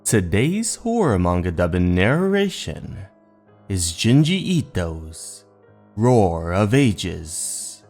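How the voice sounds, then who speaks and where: Male horror story narrator and host, very unhurried, theatrical, animated intonation, music bed beneath. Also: deep voice. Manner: dramatic, drawn-out storytelling delivery. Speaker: narrator and host of manga readings. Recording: music under the voice. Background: background music.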